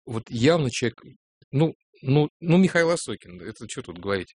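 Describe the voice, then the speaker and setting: Male radio host, Moscow accent, teasing and animated banter. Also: mid-range voice, a little fast, husky, no room sound. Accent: Moscow Russian. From Moscow, Russia. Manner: animated, jokey, teasing, laughs. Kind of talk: casual on-air chat.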